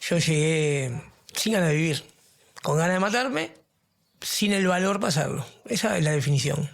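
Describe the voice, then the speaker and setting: A man's voice: a little high, expressive, normal speed, gravelly. A middle-aged man, a podcast guest giving earnest testimony and searching for his words. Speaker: guest in recovery. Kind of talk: podcast interview, personal testimony.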